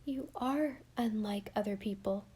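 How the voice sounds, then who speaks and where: A woman reading the part of an earnest young woman in love, her voice lifted higher. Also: moderate pace, dark tone, natural voice. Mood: soft. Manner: earnest, raised in pitch.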